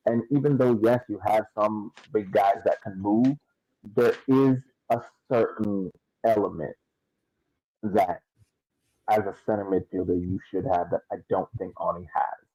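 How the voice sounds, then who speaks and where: A man on a podcast panel giving casual sports analysis, explaining in halting, emphatic phrases that he often repeats. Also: fairly low pitch, steady tone, dark tone, no echo, poor audio.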